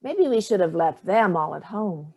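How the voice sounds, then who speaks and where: A woman's voice: lowish voice, expressive, moderate pace, darkish tone, husky. An actress playing Sallie, a dry, cutting older mother fond of cocktails, in a staged play reading. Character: Sallie, sharp-tongued hard-drinking older mother.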